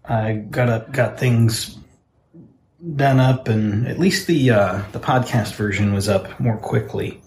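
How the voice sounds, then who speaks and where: A man co-hosting a casual podcast speaks at a middling pitch. He teases his partner in a dry, deadpan way. The recording has an echoey, roomy sound. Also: slow, slight background noise. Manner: dry, teasing banter. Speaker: podcast co-host. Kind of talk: casual chat. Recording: echoey room.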